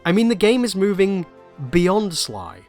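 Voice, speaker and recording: A man delivering scripted, analytical narration for a video essay in an articulate, measured, reflective way. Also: sits high, sing-song, faint music behind.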